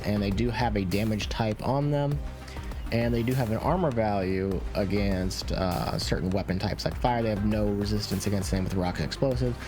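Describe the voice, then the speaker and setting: Low-key man commentating over game music. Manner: informal, opinionated. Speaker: gaming video creator.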